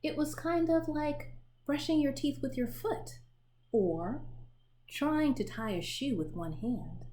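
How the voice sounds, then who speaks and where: A woman reading a children's picture book aloud in an expressive storytelling manner, pausing to show the pictures. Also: high voice, a little hiss or hum. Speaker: storybook reader.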